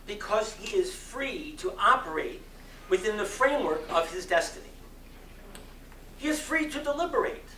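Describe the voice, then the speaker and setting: A man performing a dramatic sermon reading in an oratorical style, with solemn, emphatic phrasing and long deliberate pauses. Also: on the high side, fairly bright tone. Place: community center hall before an audience. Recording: roomy echo, distant mic, faint noise.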